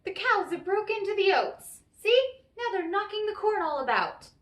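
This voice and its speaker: A woman's voice: extremely high pitch, sing-song, moderate pace, a bit bright, voice pushed up, full character voice. A woman voicing a sly, cranky little old leprechaun man in a storybook tale, with a crafty, folksy manner. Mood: sharp, scolding. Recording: roomy, echoey, distant microphone.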